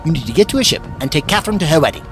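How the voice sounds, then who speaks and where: A wry, heroic adventurer acted by a man in an audio drama. The voice is fairly high and moves widely in pitch, with theatrical phrasing. Loud music plays behind it. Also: big pitch swings, very fast. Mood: wry, resolute. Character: heroic time-travelling doctor, wry, brave, self-sacrificing adventurer.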